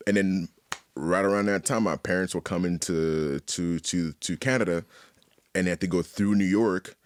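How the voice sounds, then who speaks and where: A man from Haiti telling personal stories as an interview guest in a casual, candid, animated way, often checking in with "you know". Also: low-pitched, normal speed, no room sound, studio quality. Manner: animated, repeats "you know what I mean". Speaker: podcast guest, artist.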